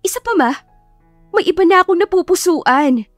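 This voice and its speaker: A woman's voice: extremely high pitch, animated, moderate pace, no echo, soft background music, voice pushed up. A woman voicing a spoiled, scheming young sister in a melodramatic audiobook, whining, indignant and pleading with heavy emphasis. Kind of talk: audiobook character acting, melodramatic.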